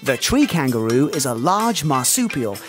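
A man's voice: medium pitch, big pitch swings, normal speed, over music. A man giving cheeky, playful scripted wildlife narration for children.

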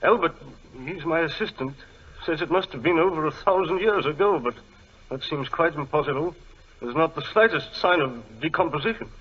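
An actor playing a nervous, excitable British gentleman archaeologist who stammers and fusses, in 1940s radio style. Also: a little high, moderate pace, husky. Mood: agitated. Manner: stammering, fussy, repeating himself. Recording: dull old radio broadcast recording.

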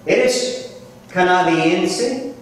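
Echoey middle-aged American man teaching. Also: sits high. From United States. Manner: deliberate, instructive, checking with short questions. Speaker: Spanish teacher. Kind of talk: classroom language lesson. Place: large classroom, indoors. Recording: echoey room, distant mic, faint noise.

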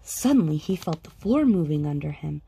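A woman narrating a children's picture book in a lilting, expressive read-aloud style. Her voice is mellow and warm, with a gravelly, husky grain, and her pitch rises and falls widely. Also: moderate pace. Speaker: storybook reader.

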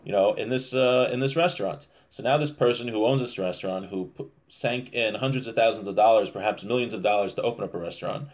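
A man's voice: lowish voice, fast talker, hardly any pauses. A man in his thirties with an American accent, giving an informal Torah lesson in a conversational, explanatory way, reading and commenting as he goes. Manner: conversational, explanatory, frequent check-in tags. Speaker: religious teacher giving a class. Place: small home room, indoors.

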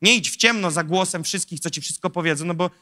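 A man in his thirties preaching a sermon into a handheld mic on a large church stage, animated and rhetorical, with lively asides to the audience. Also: sits high, fast talker. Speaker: pastor. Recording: long hall reverb.

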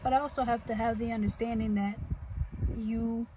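American lady chatting in her car, slow and thoughtful, mic kinda far, noticeable background noise. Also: on the high side, fairly even intonation. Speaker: vlogger. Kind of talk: casual, reflective chit chat. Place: inside a parked car.

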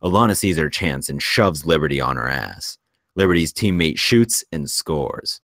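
A man's voice: rumbling low, lively intonation, normal speed, a bit bright, dry recording, studio quality. A man narrating stage directions from a script in a plain reading style.

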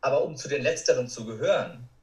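A man's voice: medium pitch, quick pace, a little mellow. Man speaking earnestly in a roomy space. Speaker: interview guest, motivational speaker and coach. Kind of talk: reflective personal account in a podcast interview. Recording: roomy, echoing sound.

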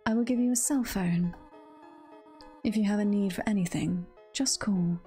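Breathy, gentle monster mother voiced by a woman. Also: medium pitch, moderate pace, faint music behind, close to an everyday voice. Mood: calm, reassuring. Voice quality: breathy, half-whispered. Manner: formal, careful phrasing. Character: gentle motherly monster woman, soft-spoken and protective guide.

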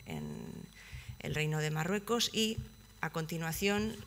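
A young woman giving a conference presentation, pausing often with fillers and restarting phrases, seated at a table in a conference hall. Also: deep voice. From Basque Country, Spain. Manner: hesitant, many fillers and restarts. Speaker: project manager presenting at a conference.

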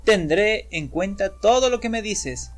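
Cheerful little storybook girl, bright and eager, very high and lively, put on by a man. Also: measured pace, faint music behind. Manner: bright and excited.

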